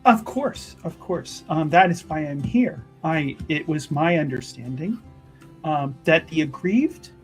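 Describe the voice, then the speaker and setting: A player voicing a polite, probing church sister in a fantasy tabletop game, with formal, measured, pious phrasing. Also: mid-range voice, expressive, soft background music, natural voice.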